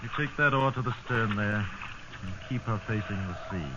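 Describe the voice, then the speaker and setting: Actor as steady sea captain, loud water noise. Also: male voice, fairly low pitch, normal speed, lightly acted. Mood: weary, steady. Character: steady, weary ship's captain adrift in a lifeboat. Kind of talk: 1950s radio drama acting. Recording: dull old radio, loud sea ambience.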